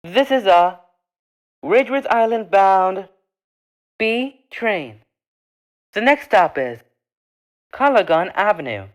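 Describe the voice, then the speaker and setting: A woman reading scripted train stop announcements as a transit announcer, in measured, formulaic, separate phrases. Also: mid-range voice, sing-song, lo-fi sound.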